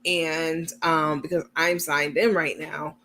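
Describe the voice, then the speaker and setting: High, gravelly-voiced man chatting on a livestream. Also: level tone, takes it slowly, pushed and bright, a little hiss or hum. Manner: chatty, explains step by step while sharing screen; many filler ums, gets irritated at small details. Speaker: channel host. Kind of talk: casual livestream talk to viewers.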